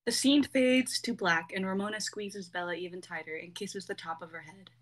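A woman's voice: medium pitch, normal speed, low-quality recording. A young woman reading out stage directions as scripted narration. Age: young adult.

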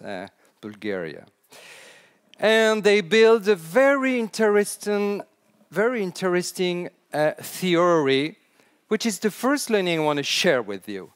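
Slow, clear-voiced French man on stage. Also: high voice. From France. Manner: animated, chatty, laughs at own jokes, some hesitant restarts. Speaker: conference speaker. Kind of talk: keynote talk on storytelling. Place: large indoor conference stage.

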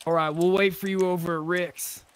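A man's voice: high-pitched, little pitch movement, a little fast, clean tone. A man chatting casually and informally, with some swearing, as he plays an online roleplay game. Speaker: video game player. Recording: noisy game voice chat.

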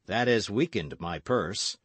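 A man voicing a grave, dry-witted gentleman musketeer in a measured, understated way for a storybook reading. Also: fairly low pitch, animated, slightly rough, dry recording, heavily acted. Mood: dryly amused.

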